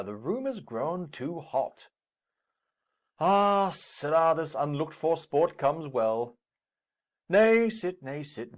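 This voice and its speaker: Blustering old lord, swooping wildly. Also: male voice. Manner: booming, declamatory, swooping. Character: jovial, blustering old lord hosting a feast. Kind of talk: theatrical Shakespearean acting.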